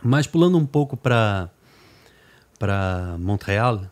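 A man's voice: lowish voice, animated, slow, a little mellow. A man interviewing a guest in a chatty, rambling way, often checking in with tag questions. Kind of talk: interview conversation.

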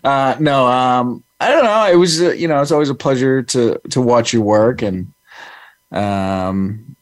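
A man chatting casually as co-host, halting and hesitant with many pauses and "uh". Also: medium pitch, dry recording. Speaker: co-host, host's husband. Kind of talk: casual chat.

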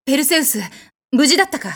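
Woman as a haughty warrior goddess, wildly expressive. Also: sits high, fast talker. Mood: blunt. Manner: commanding, blunt masculine phrasing. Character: haughty, blunt warrior goddess, gruff and quick-tempered. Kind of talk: anime-like audio drama acting with narration.

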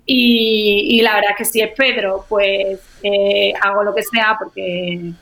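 A woman guest in a casual chat, telling stories in a relaxed way with fillers and wry irony. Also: medium pitch, slow, creaky, rough recording. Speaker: guest, actress in a short film.

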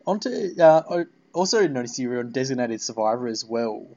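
A man's voice: medium pitch, very animated, fairly quick, a little mellow. Casual man interviewing, dull audio. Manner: rambling, repeats phrases. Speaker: podcast interviewer. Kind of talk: casual fan interview chat.

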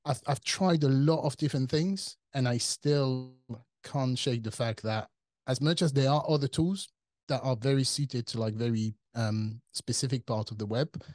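A man's voice: medium pitch, normal speed, darkish tone, no room sound. A man in his forties from France with a French accent, talking casually as an interview guest, thinking aloud with fillers and restarts. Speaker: guest, tech company CTO.

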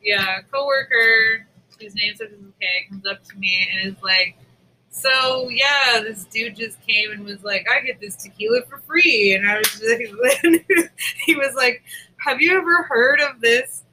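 A woman chatting casually as a podcast co-host, retelling stories in an informal, animated way with acted-out quotes. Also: a little high, unhurried, low-quality recording. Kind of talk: casual chat, storytelling.

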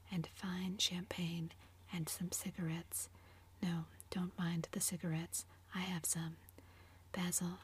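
A woman whispering as a languid witty gentleman. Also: lowish voice, a little hiss or hum, lightly acted. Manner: whispered, epigrammatic, drawling. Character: languid witty aristocratic older English gentleman.

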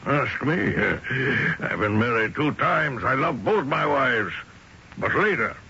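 Domineering old-country father for radio drama: argumentative, sharp-edged voice with sweeping, animated inflection. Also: mid-range voice, slow pace, vocal fry, husky, heavily acted. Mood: indignant, lecturing. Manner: argumentative, scolding, full of rhetorical questions. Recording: muffled, noisy old radio broadcast.

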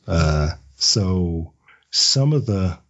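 A man's voice: low voice, lively intonation, drawn-out words, a bit bright, highs cut off. A man giving casual, reflective interview answers as a guest writer, often repeating words as he thinks. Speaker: podcast guest, audio drama writer; script writing teacher.

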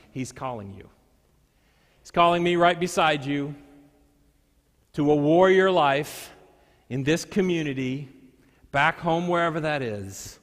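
A male pastor preaching a sermon, reading scripture and telling stories with deliberate, dramatic pauses and emphasis. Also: on the high side, a bit bright. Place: large church sanctuary. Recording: echoey hall with long reverb.

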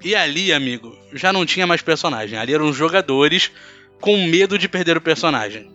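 A man's voice: on the high side, expressive, a little fast, sharp tone. A Brazilian man hosting a casual podcast, telling stories in a colloquial, emphatic way. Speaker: podcast host. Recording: muffled mic, faint music bed.

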